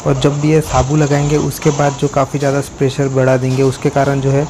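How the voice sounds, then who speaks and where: Young male vlogger, flat and even delivery, chatty step-by-step explainer, outdoor phone recording with noise behind. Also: medium pitch, brisk. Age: young adult. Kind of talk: casual vlog narration. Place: outdoor car wash yard.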